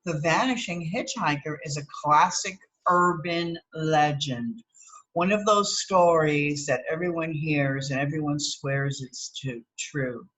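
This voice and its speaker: A woman's voice: low voice, slow pace, a bit bright, rough recording. A woman with an American accent teaching through a read-aloud, adding explanatory asides and often checking in with "okay?".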